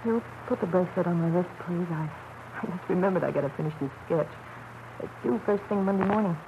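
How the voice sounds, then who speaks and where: A woman acting as an uneasy young sweetheart with a lowish voice, hesitant and evasive. It is classic radio drama, muffled and noisy. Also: fast talker, close to an everyday voice. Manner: hesitant, evasive, soft-pedalling.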